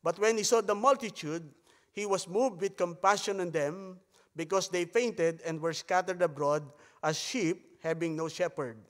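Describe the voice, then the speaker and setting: A male preacher from the Philippines speaks English with a Filipino accent, his first language being Tagalog. His voice sits a little higher than most men's, and he pauses often with halting fillers between phrases. His words ring out across a church hall with a long trailing reverb. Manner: halting delivery with frequent hesitations; softer, hushed tone while praying. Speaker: visiting missionary pastor. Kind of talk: sermon with Bible reading and prayer. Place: church sanctuary. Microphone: pulpit microphone.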